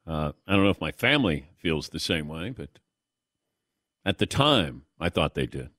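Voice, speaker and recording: A middle-aged man hosting a sports talk radio show, chatting with callers and crew in a relaxed, self-deprecating way. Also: very deep voice, normal speed, dry recording, studio quality.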